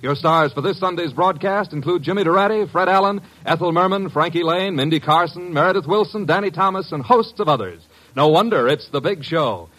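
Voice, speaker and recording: A man with a husky voice announcing in 1950s network radio style. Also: a little high, animated, brisk, a bit bright, poor audio. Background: faint music.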